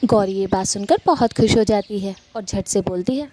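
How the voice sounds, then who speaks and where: A woman's voice: mid-range voice, normal speed, darkish tone. A woman giving scripted narration for a children's cartoon story, as the storyteller.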